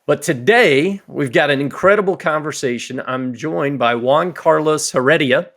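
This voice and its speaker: Gravelly, upbeat podcast host man. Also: a little high, normal speed, fairly bright tone. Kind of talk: podcast hosting.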